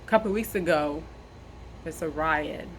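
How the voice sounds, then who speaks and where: A woman in her thirties from South Sudan, a nurse, speaking earnestly to camera outdoors in the wind, measured and repeating phrases for emphasis. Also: sits low. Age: 30s. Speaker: nurse and army veteran sharing her story. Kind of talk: personal address to camera. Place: outdoors, windy. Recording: noisy outdoor recording with wind.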